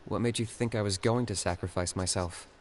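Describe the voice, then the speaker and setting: Guy voicing a calm stoic young hunter, lowish voice, dry and measured, game music behind. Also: background noise, close to an everyday voice. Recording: game soundtrack playing behind.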